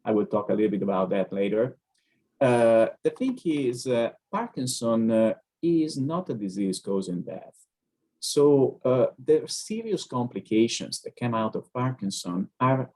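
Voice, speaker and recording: A man with an Italian accent, a neurologist explaining things on a video-call webinar, pausing often with hesitant fillers. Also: medium pitch, big pitch swings, warm tone. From Italy.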